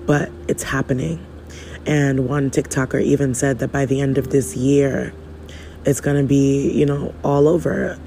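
Hoarse, rambling man with a dark voice. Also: mid-range voice, steady tone, unhurried, a little hiss or hum, rough recording. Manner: rambling, preachy, casual slang. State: losing his voice. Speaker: podcaster. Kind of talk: spiritual monologue.

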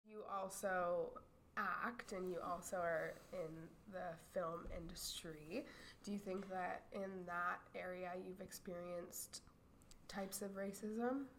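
A woman's voice: on the low side, steady tone, slow pace, fairly bright tone, a little hiss or hum. A woman asking interview questions in a loose, casual way, with "like" and "uh" fillers.

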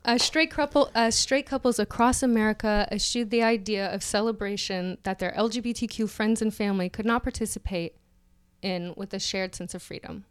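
A woman's voice: medium pitch, a little fast, clear, resonant tone. A woman co-hosting a podcast, doing a mock-dramatic reading of an article and laughing through her lines. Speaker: podcast co-host.

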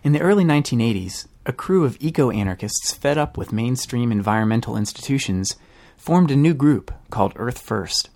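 A man's voice: mid-range voice, lively intonation, moderate pace, darkish tone. A man reading scripted documentary-style narration for a podcast. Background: music.

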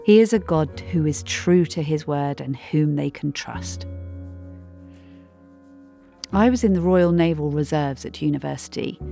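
Woman reading a reflective devotional, really low voice, bit of creak in it, measured. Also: dark tone. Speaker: devotional reader. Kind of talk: scripted devotional narration.

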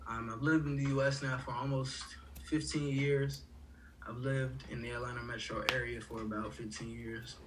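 A man's voice: medium pitch, flat delivery, normal speed, far from the mic, background noise. A young man from Haiti, a college student, talking casually in a rambling way with many fillers and restarts. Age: young adult. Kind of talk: casual conversation.

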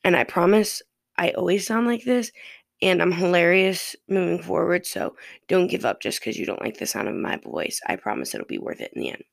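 A raspy, fairly deep-voiced person from Ohio, hosting a podcast in a loose, profane, rambling monologue. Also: big pitch swings, normal speed, dry recording, clean studio sound. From Ohio, USA. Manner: loose and profane, drifting between topics. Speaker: podcast host.

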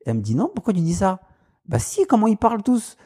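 A man's voice: high voice, fast, husky. A man with a Paris suburban accent, telling stories in a casual chat and mimicking other people's voices. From Paris suburbs, France. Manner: animated, mimics voices in anecdotes, laughs often. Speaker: guest. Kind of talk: casual storytelling.

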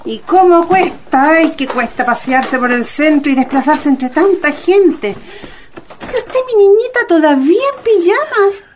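A tender Chilean mother with a fairly high voice and slow delivery. She is doting and affectionate, sighing her motherly endearments as in a radio play. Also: animated, slight background noise. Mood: bustling, exclaiming. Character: tender, doting mother of a blind little girl. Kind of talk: radio drama acting.

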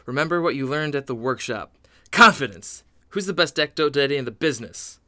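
A man's voice: mid-range voice, animated, brisk, pushed and bright, dry recording, studio quality. A man playing a fussy, insecure amusement-park ghost in comedic radio theatre, sardonic, grumbling and easily flustered. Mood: grumbling, self-coaching.

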